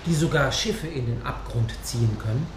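An actor playing an earnest, credulous manservant in a radio play, speaking with polite wonder. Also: male voice, medium pitch, moderate pace, heavy background noise, close to an everyday voice. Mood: uneasy wonder. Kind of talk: German radio play dialogue. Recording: roomy echo, music behind.

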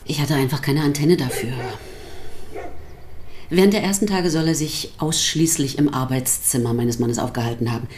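A composed pastor's wife in a radio play, acted by a woman. Her voice is low, and she speaks with dry irony and careful discretion. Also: a little mellow, a little hiss or hum, natural voice. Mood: dry, discreet. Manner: dry, measured, delicately euphemistic. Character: composed, dryly ironic pastor's wife giving testimony. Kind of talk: German radio drama acting.

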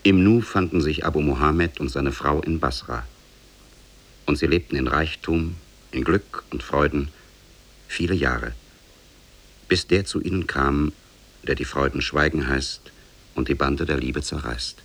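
An actor as a solemn fairy-tale storyteller, narrating with measured reverence in a 1950s German radio drama. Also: male voice, a bass voice, fairly bright tone, breathy edge, heavily acted. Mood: solemn, reverent. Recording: old 1950s radio play on cassette.

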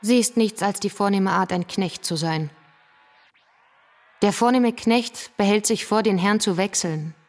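A woman's voice: mid-range voice, measured pace, darkish tone, lightly acted. An actress playing a scornful, argumentative revolutionary woman who lectures bitingly in a German radio drama. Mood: combative. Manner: didactic, biting.

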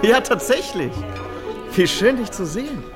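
Boyish friendly gentleman, very high pitch, swooping intonation, excitable, radio play. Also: measured pace, over music. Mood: delighted surprise. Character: Tommy Traddles, delighted boyish old schoolfriend. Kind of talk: German radio drama acting.

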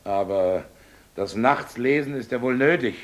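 An actor playing a rich older village miller, gruff and scheming, who rants and then broods in a radio drama. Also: male voice, unhurried, natural voice. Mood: wheedling. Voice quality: gravelly, husky voice. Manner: blustering, then brooding and low.

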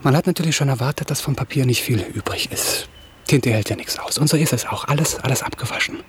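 A man's voice: mid-range voice, fast, a little hiss or hum, natural voice. A man acting a distraught, self-pitying young writer in a German radio drama, rambling and repeating words as he stammers. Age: young adult.

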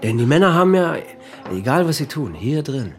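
An actor playing a drunk, sentimental family man in a German radio play, talking in halting, repetitive phrases. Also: on the high side, big pitch swings, soft background music. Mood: drunken, insistent.

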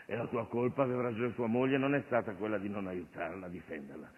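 A man's voice: fairly low pitch, steady tone, fast talker, husky. A man playing an irreverent, streetwise Jesus, commanding and jocular in a colloquial comic stage monologue. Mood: preaching. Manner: commanding, colloquial, mock-preaching. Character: irreverent streetwise Jesus, bossy, jocular master miracle-worker. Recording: phone-like radio broadcast of stage show.

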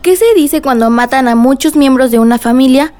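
A woman's voice: high-pitched, brisk, darkish tone, soft background music, close to an everyday voice. An actress in a radio drama playing an innocent, inquisitive young girl who asks earnest questions. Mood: curious.

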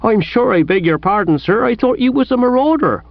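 A man's voice: sits high, expressive, moderate pace, dry recording, highs cut off, pitched above the performer's own voice. A man voicing an apologetic, deferential village police constable in a comic audiobook, simple and humble, with a British accent. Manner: humble, simple, uneducated grammar.

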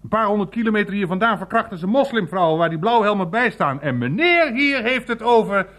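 A man's voice: high voice, lively intonation, fairly quick, fairly bright tone. A man playing a blunt, irreverent older uncle in a naturalistic radio play, grumbling and swearing as he rambles. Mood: incredulous, indignant.

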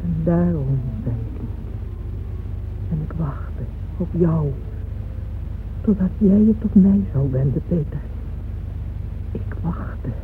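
An actress playing an anguished, devoted middle-aged noblewoman in a radio play, speaking in intense, halting monologue. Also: low-pitched, narrow, tinny audio, background noise. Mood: subdued yearning. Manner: intense, breathy, halting, swelling from hushed to agitated. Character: anguished older Russian noblewoman, devoted, haunted by dreams. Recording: band-limited old radio recording with music. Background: music.

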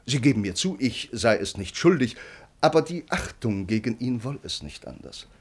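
An actor playing an earnest, brooding count and devoted bridegroom in a formal, declamatory classical German radio play. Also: male voice, mid-range voice, big pitch swings, normal speed, husky. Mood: agitated, gloomy.

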